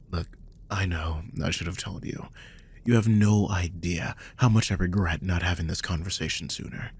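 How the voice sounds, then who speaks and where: A man playing a protective, guilt-ridden magical guardian in an intimate roleplay audio drama, speaking softly and hesitantly in a husky, breathy voice that often drops toward a whisper. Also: sits low, full character voice. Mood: remorseful, explaining. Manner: soft, hesitant, soothing, with frequent sighs.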